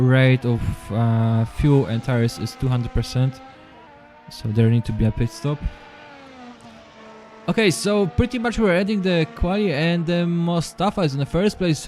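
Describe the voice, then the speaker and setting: A man with a Polish accent calls a sim race live in hesitant, halting English, repeating words as he goes. His voice sits in a middle pitch, and soft music plays faintly under the car sounds. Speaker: sim racing commentator. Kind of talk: live esports race commentary.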